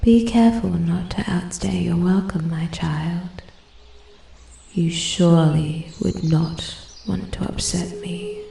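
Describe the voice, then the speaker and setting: A woman voicing an ancient female forest spirit in soft-spoken ASMR roleplay, breathy and often whispering, formal, intrigued and quietly menacing. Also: lowish voice. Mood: quiet menace. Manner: slow, deliberate, archly formal. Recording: echoey, with steady ambient forest noise. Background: forest ambience, birds and animal sounds.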